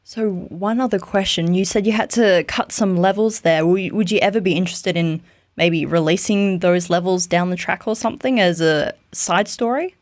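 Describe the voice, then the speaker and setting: A woman's voice: lowish voice, moderate pace, no room sound, clean studio sound. A woman interviewer asking questions in a conversational podcast style.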